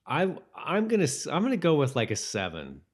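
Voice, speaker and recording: A man's voice: a little high, wildly swooping pitch, a little fast, breathy edge. An American man chatting casually as co-host of a TV rewatch podcast, often chuckling as he talks.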